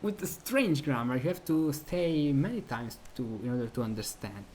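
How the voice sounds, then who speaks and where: A man's voice: fairly high pitch, sing-song, moderate pace, a little mellow, a little hiss or hum. A man from Italy speaking English with an Italian accent, talking casually about an old music book as host, with many restarts and easy laughter. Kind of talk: casual discussion of a music treatise.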